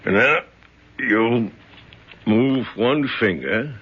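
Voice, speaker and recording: A man's voice: mid-range voice, drawn-out words, slightly rough. An actor playing a mocking gangster henchman in a 1970s radio drama, with taunting tough-guy talk. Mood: taunting threat. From Naples, Italy. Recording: muffled, noisy 1970s radio broadcast.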